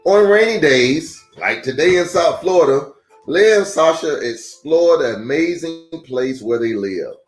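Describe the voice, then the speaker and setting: An echoey, roomy recording carries a man's voice as he reads a children's book aloud. His pitch moves in a lively way, and his phrasing is deliberate and a little halting, in an informal storytime style. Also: soft background music. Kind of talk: read-aloud storytime with casual asides.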